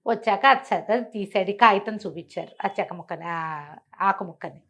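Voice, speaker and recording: A woman's voice: medium pitch, a little fast, fairly bright tone. A woman telling a bedtime story informally and conversationally, with explanatory asides and rhetorical questions.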